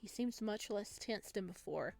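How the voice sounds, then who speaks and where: A woman's voice: mid-range voice, moderate pace, creaky, a little mellow, dry recording. A woman hosting a visual novel playthrough, reading the story and commenting casually. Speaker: game playthrough host. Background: game music.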